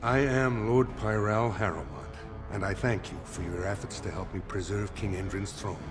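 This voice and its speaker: An actor playing a dignified, earnest older lord in a fantasy game, courtly, measured and solemn. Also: male voice, on the low side, lightly acted. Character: dignified elder lord seeking a throne, earnest. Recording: faint game music behind.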